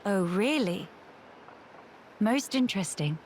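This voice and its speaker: A woman's voice: medium pitch, lively intonation, a little mellow, a little hiss or hum. An actress playing a composed, formal young noblewoman in a video game cutscene. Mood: composed, courteous. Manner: formal, measured, stately diction.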